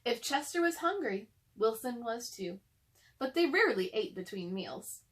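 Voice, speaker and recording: A woman's voice: on the high side, sing-song, moderate pace. A woman reading a children's story aloud, narrating and speaking directly to young listeners. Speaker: storybook reader.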